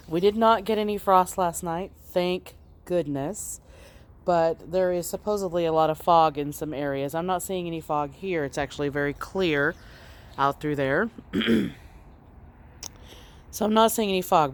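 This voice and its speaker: A woman hosting a casual outdoor garden walk-through, slightly congested while getting over an illness, talking in an unhurried, plain way with frequent ums. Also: sits low.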